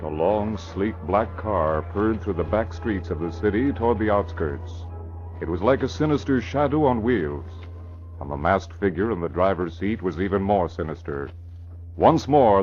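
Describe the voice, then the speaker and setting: Muffled old radio, faint music, american guy narrating low and portentous like a 40s crime serial. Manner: formal, portentous. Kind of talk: dramatic scripted 1940s radio narration.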